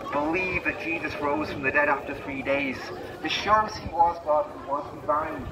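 A man preaching an open-air sermon over a loudspeaker in short, declarative, emphatic phrases. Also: a little high, normal speed, muffled recording. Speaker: street preacher. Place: outdoors on a busy pedestrian shopping street. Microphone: loudspeaker PA, picked up by camera at a distance. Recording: roomy, distant PA sound, noisy street.

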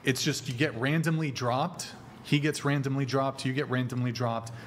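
Guy hosting a podcast panel, thirties, regular pitch, just chatting into a mic. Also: steady tone, moderate pace, a little hiss or hum. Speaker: podcast host. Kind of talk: casual panel discussion. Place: indoor podcast studio. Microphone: podcast microphone.

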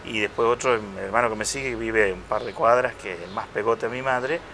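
A man in his fifties, a parish priest, talking in a relaxed interview with hesitations and restarts. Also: fairly low pitch, slight background noise. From Chile. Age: 50s. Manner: unhurried, reflective, with many hesitations and restarts. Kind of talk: casual interview conversation. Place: outdoor restaurant patio. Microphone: lapel mic.

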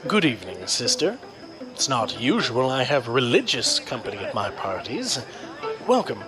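A man's voice: mid-range voice, very animated, moderate pace, natural voice. A man acting as a polite but easily cowed crooked party host in an audio drama. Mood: smooth courtesy. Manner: courteous, flustered when challenged. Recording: loud music and party crowd behind.

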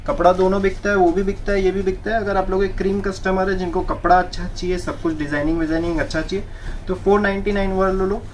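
A young man with a slightly high voice gives a brisk wholesale sales pitch for sarees. He sits on the floor of an indoor shop, repeating each price in English. Faint room noise hums behind him. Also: steady tone. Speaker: saree seller. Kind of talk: wholesale product showcase.

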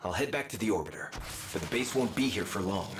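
A man's voice: deep voice, animated, quick pace. An actor playing a bold male operative reporting to command in a space video game. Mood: defiant, resolute. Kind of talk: scripted video game voice acting. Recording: echoey game audio with music behind.

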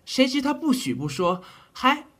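A man's voice: extremely high pitch, sing-song, moderate pace, fairly bright tone, exaggerated voice. A man playing an earnest, polite army physician in an ancient Chinese audio drama, with a hesitant, courteous way of talking.

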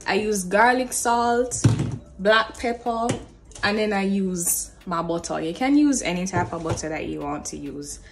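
A woman's voice: mid-range voice, unhurried. Young Jamaican woman chatting, faint music behind. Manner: informal, Creole-tinged phrasing. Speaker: cooking vlogger. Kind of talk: casual chat while cooking. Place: home kitchen, indoors.

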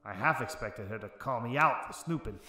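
Tough 1940s private eye, roomy sound. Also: male voice, mid-range voice, lively intonation, fairly quick, bright, forceful tone, soft background music. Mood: wry, brooding. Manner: tough, wry, world-weary. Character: hard-boiled 1940s male private investigator, tough and bitter. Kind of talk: noir radio drama acting and narration.

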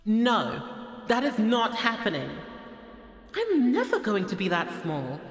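A woman voicing a haughty, menacing, easily exasperated goddess in an ASMR audio roleplay, speaking with slow condescension. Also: medium pitch, huge pitch swings, roomy sound, in a large hall, faint music behind, voice pushed up. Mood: imperious, exasperated. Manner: slow, imperious, condescending, with heavy sighs.

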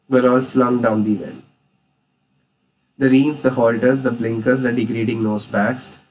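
A man's voice: mid-range voice, slight background noise. Man reading a novel aloud, slightly distant. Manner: steady reading with small slips and restarts. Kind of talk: plain read-aloud narration.

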